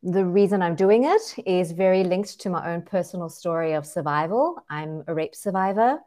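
A middle-aged woman from South Africa, her accent blended with Australian tones. She talks earnestly and with energy as a podcast guest, pausing on fillers. Her voice sits a little low for a woman. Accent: South African with Australian and French influence. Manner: earnest, lively, many fillers. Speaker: guest, activist. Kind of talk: interview, personal account.

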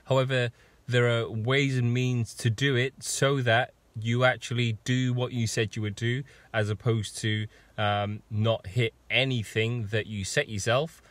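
A hoarse man with a husky voice and a sore throat, a fitness coach talking casually to viewers with many pauses and ums. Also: mid-range voice, level tone, fairly bright tone, dry recording. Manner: rambling, with many ums and restarts. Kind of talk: casual live video talk.

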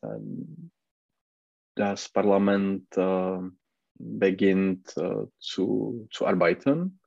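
A man's voice: low voice, expressive, drawn-out words, gravelly, darkish tone, husky, no room sound, highs cut off. A man with a Czech accent and a cold, learning German and searching for words with many hesitations. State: has a cold. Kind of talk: halting online language lesson.

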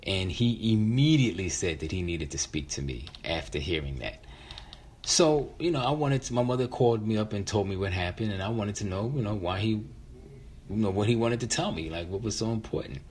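An American man in his fifties tells a personal story conversationally, in a halting, reflective way with repeated words. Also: fairly low pitch, expressive, darkish tone, slight background noise. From West Philadelphia, United States. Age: 50s. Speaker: featured storyteller.

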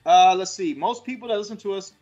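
A man's voice: high-pitched, fast, a little mellow, muffled recording, soft background music. A man around forty hosting a casual podcast, rambling through stats with crude jokes and many fillers. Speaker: podcast host.

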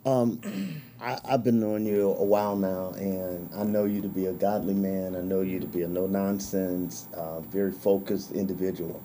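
Male podcast host, deep and mellow tone, encouraging, affirming manner. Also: fairly even intonation, slight background noise. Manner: affirming, encouraging, quick to laugh. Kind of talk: podcast interview hosting.

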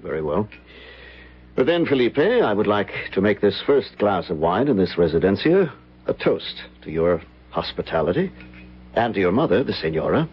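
Deep-voiced, theatrical gentleman narrator. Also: sing-song, normal speed, husky. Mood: polite, curious. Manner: formal, theatrical, solemn, hesitant. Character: earnest, courteous gentleman recounting a haunting memory. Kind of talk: old-time radio drama narration and acting. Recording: dull old radio broadcast, music behind.

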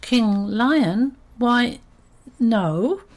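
Woman doing a squeaky, self-important little mouse. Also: animated, extremely slow, full character voice. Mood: annoyed. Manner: self-important, cocky, faltering when scared. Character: small cheeky male mouse, boastful, easily offended.

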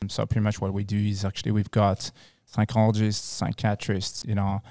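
A man from France with a French accent, answering casually as an interview guest in a halting, repetitive way. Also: fairly low pitch, completely flat delivery, a little mellow, dry recording, studio quality. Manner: halting, repeats words and phrases.

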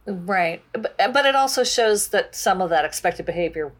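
A woman's voice: medium pitch, expressive, moderate pace. A woman co-hosting a conversational discussion about books. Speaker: book discussion podcast co-host.

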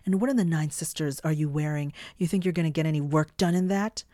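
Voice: medium pitch, fast, mellow, no room sound, clean studio sound, natural voice. A narrator voicing a furious, foul-mouthed female fairy warrior in an audiobook, hissing and roaring. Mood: roaring anger.

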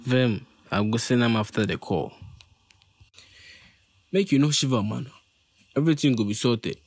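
A man's voice: medium pitch, normal speed, a little mellow, dry recording, lightly acted. A man acting a bitter, persistent young suitor in an audio play, with a Ghanaian accent. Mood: scheming. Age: young adult. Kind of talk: dramatic dialogue in an audio play.

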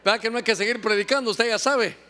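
High-voiced male preacher, lively and joking, acts out little scenes. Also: quick pace. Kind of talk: sermon.

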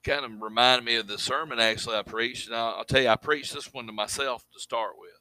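A man preaching a sermon, describing his own voice as monotone, often repeating words as he restarts. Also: medium pitch, brisk, dry recording, rough recording. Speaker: pastor.

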